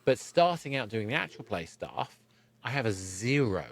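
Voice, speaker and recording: A man's voice: medium pitch, big pitch swings, normal speed. A man hosting a conversational podcast interview, thinking aloud and often rephrasing mid-sentence.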